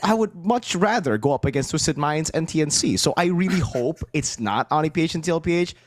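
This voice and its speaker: A man's voice: fairly high pitch, lively intonation, a little fast, dry recording, studio quality. A man from Indonesia chatting casually and playfully as an esports podcast panelist.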